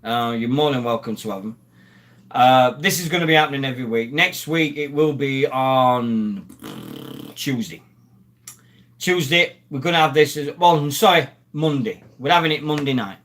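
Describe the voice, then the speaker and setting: A man's voice: medium pitch, normal speed, a bit bright. Chatty man hosting a live stream. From Sheffield, England. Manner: rambling and chatty, greeting viewers and reading the chat aloud. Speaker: live stream host, former rugby league player. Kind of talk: casual live stream chat.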